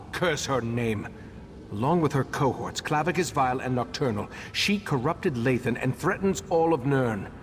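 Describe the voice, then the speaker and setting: An actor playing a scholarly, pensive elf ritemaster in a fantasy video game, formal and musing. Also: male voice, mid-range voice, natural voice. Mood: grave, indignant. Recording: long hall reverb, faint background noise.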